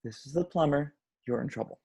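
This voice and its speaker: A man's voice: a little high, a little fast, vocal fry, darkish tone, husky, dry recording. A man playing a boyish, sarcastic husband of twenty-nine, with a casual manner, in a table read of a film script. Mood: wry, sarcastic.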